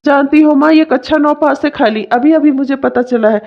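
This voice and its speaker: A woman's voice: high-pitched, moderate pace, creaky. A woman voicing a domineering, complaining young husband in a melodramatic family story. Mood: outraged. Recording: dull, muffled lo-fi sound.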